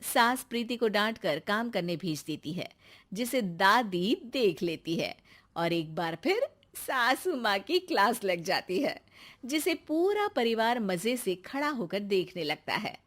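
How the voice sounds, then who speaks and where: A woman's voice: mid-range voice, big pitch swings, unhurried, fairly bright tone. A woman narrator reading a scripted moral tale as storytelling.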